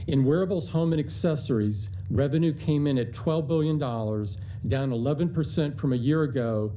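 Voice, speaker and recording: An older man walks through quarterly figures in a steady, measured corporate tone. His voice is mellow and mid-pitched, and a faint hiss sits under the line. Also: low-quality recording. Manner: measured, upbeat corporate delivery with some hesitations. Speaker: company chief executive on an earnings call. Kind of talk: scripted earnings remarks, then unscripted answers.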